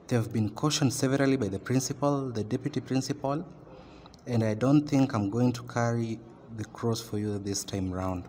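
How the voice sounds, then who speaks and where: An actor with a Kenyan accent playing a stern class teacher who lectures and scolds in a halting, emphatic way in an amateur radio drama. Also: male voice, medium pitch, a little mellow, natural voice. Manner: admonishing, emphatic, halting delivery. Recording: noisy, very reverberant room.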